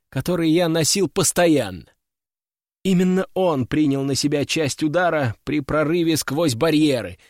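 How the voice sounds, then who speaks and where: A man's voice: on the high side, no echo, clean studio sound. A man narrating a fantasy audiobook in the first person, in a wry, ironic tone.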